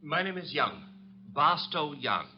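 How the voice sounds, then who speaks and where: An actor playing an earnest, indignant stage-actor suitor in an old-time radio drama, formal and theatrical with hesitant turns. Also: male voice, fairly high pitch, sing-song, unhurried, noisy background. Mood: earnest, nervous. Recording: dull vintage radio recording.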